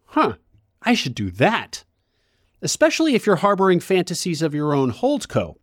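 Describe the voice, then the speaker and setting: A man hosts a podcast with a fairly high, animated voice that moves up and down a lot as he reads his intro and ad copy. The sound is dry and close, with no room around it. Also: no room sound. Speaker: podcast host. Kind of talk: scripted podcast intro and interview.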